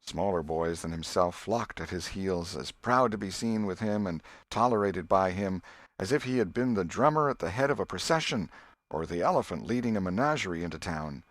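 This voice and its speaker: A man's voice: sits low, animated, moderate pace, no room sound. A man reading a literary story as an audiobook narrator.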